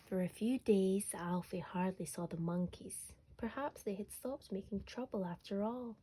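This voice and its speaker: A woman storyteller narrating a children's bedtime story expressively, sometimes dropping to a hush. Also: mid-range voice, normal speed, slightly rough.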